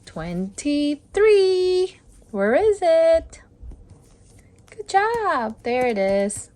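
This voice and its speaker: Female storybook reader, slightly high voice, unhurried pace, expressive and encouraging delivery aimed at small children. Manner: slow, deliberate, encouraging. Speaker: children's storybook read-aloud host. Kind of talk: expressive read-aloud narration for young children.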